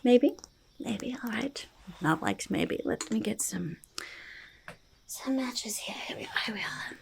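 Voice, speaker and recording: A woman voicing a quirky, treasure-loving goblin in a soft ASMR roleplay, slipping between soft speech and breathy whispers, repeating words in a quaint, halting way. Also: a little high, lively intonation, heavily acted. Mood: curious, pleased. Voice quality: breathy half-whisper with creaky fry. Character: quirky, treasure-loving, mildly menacing forest goblin, speaking in third person.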